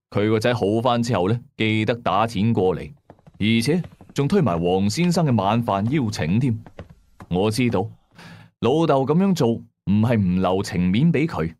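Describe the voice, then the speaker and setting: A man's voice: on the low side, darkish tone. A man with a Hong Kong Cantonese accent narrating a first-person horror story as a storyteller.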